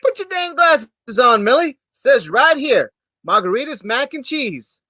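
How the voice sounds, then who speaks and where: A man's voice: very high-pitched, very animated, a little slow, pushed and bright, no room sound, heavily acted. A man playing a folksy, blunt small-town amateur thespian with juvenile humor, grumbling his way through a staged reading. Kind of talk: community theater staged reading.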